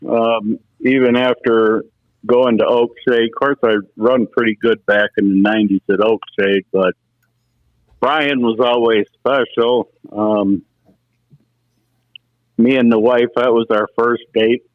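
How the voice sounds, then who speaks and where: A man's voice: medium pitch, steady tone, measured pace, no echo. A middle-aged man, a former racer, casually telling folksy stories as an interview guest over the telephone. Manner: anecdotal, folksy storytelling. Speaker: former race car driver, interview guest. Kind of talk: casual reminiscing on a phone interview. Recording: muffled, narrow telephone line.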